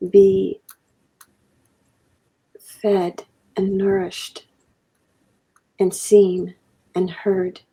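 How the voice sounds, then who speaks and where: A woman guest on a video call, giving a spoken invocation in a very slow, solemn, prayerful manner with a gravelly, husky voice. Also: mid-range voice, mellow, rough recording. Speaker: podcast guest.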